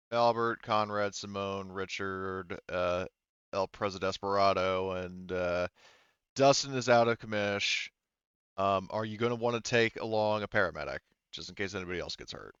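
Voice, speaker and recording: A man game mastering a tabletop roleplaying session, thinking aloud in an informal, halting way. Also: sits low, a bit bright, clear, resonant tone, dry recording, muffled recording.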